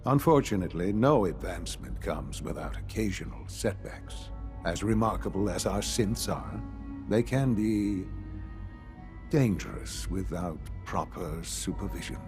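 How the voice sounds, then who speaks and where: Game leader: very low-pitched, formal, measured visionary, clear music underneath. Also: male voice, expressive. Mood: measured, solemn. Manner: measured, formal, grandly earnest. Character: measured, visionary male leader of secret science institute. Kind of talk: scripted game dialogue.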